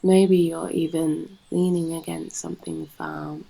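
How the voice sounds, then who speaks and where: A British woman guides a meditation in a low, dark voice with a very creaky edge. Her delivery is soft and soothing, leaving long pauses between short phrases. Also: very animated, poor audio. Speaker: yoga nidra guide and host.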